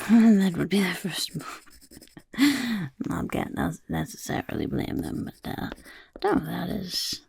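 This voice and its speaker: A scheming goblin recruiter who whispers slowly in a low, gravelly, breathy rasp, put on by a woman. It speaks of itself in the third person and sounds sly and a little suspicious. The voice is close and dry, as in soft-spoken ASMR. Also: dry recording, exaggerated voice. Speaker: ASMR performer.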